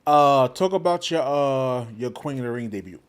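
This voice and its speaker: A man's voice: mid-range voice, animated, normal speed, smooth. A man hosting a casual podcast, asking easygoing questions with short affirmations. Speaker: podcast host. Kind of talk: casual interview.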